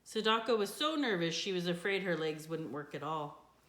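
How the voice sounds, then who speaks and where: A woman reading a children's book aloud in an expressive storytelling style. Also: on the low side, moderate pace. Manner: expressive, with character voices for dialogue. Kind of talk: scripted storybook narration, read aloud. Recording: echoey room.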